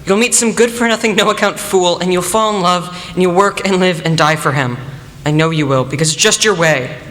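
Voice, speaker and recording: A man's voice: high voice, a little fast, fairly bright tone. A teenage male student playing a heartbroken, bitter young suitor in a radio play, speaking with dramatic passion. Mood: bitter, wounded. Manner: impassioned, dramatic. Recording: echoey hall, faint noise.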